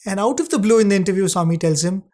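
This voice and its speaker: A man narrating a devotional anecdote conversationally, using tag questions and gentle humour. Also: high-pitched, racing. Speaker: satsang co-host.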